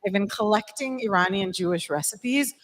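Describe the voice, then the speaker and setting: A woman's voice: mid-range voice, normal speed. A woman from Iran who collects recipes gives a short, friendly talk to a group, informal and full of fillers. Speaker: guest speaker, recipe collector.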